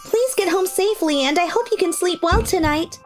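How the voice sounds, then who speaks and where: A woman plays a gentle, caring high school girl from a manga dub, with a high, bright voice and a chatty, kindly way of talking. Faint music sits under her lines. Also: fairly quick. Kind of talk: manga dub voice acting and narration.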